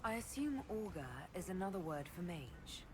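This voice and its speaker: A woman's voice: on the low side, big pitch swings, normal speed, background noise. An actress playing a tactful, self-possessed heroine in a fantasy game, polite and direct as she questions people. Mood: inquiring. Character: composed, tactful female inquisitor, a questioning heroine. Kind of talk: scripted fantasy game dialogue.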